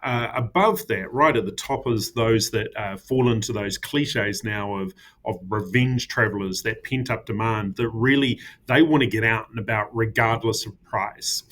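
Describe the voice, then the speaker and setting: New man, ceo guest, lowish voice, relaxed, lots of ums. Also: moderate pace. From New Zealand. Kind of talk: casual interview answers.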